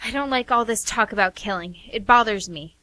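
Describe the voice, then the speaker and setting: An actress playing a defiant, indignant sister in a radio drama, protesting sharply. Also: female voice, on the high side, fairly quick, bright, forceful tone, no room sound. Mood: annoyed, defiant. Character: defiant, indignant younger woman, bullied sister. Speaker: actress in a radio play, also reading stage directions. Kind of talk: amateur radio drama acting.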